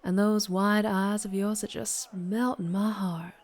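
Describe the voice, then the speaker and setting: A woman's voice: medium pitch, steady tone, unhurried, darkish tone, lightly acted. A woman playing a flirtatious, doting but fierce vampire biker in an ASMR roleplay, with a Southern US accent and a teasing manner. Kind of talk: ASMR roleplay, intimate character monologue.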